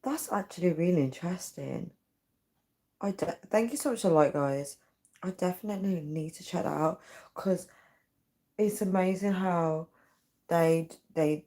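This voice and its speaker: A tired woman, her voice nearly gone, speaking softly and earnestly as she chats with viewers on a live stream from her home at night. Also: deep voice. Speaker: livestreamer. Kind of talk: casual live chat reading viewer comments. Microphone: built-in device mic, no dedicated microphone.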